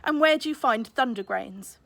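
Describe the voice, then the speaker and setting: A stern storybook father acted out by a woman reader, blustering and fretting over his child. The pitch sits on the high side, and the sound is dry and close. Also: lively intonation, moderate pace, gravelly, fairly bright tone, no room sound. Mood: dismissive, challenging. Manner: blustering, exclaiming. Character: Lackland, stern fretful father, master firework maker.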